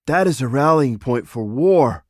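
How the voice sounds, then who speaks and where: A man's voice: medium pitch, lively intonation, measured pace, dry recording, studio quality, voice pushed up. A man voicing a stern, pessimistic animal father and counselor in a storybook, grave and dismissive. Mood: stern objection.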